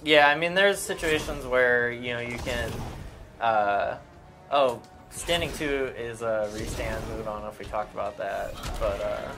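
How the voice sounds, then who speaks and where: A man's voice: medium pitch, unhurried, over music. A man giving informal, unscripted game commentary, explaining things in a conversational way.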